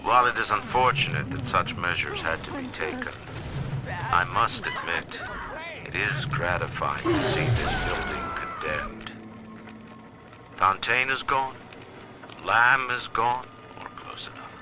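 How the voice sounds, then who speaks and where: A man's voice: lowish voice, huge pitch swings, a little slow, heavy background noise. A man playing a stern, proud middle-aged tycoon who rules his own city in a video game, speaking his audio diaries in pompous, menacing, formal oratory. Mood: cold, brooding. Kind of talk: dramatic recorded diary monologue, formal oratory.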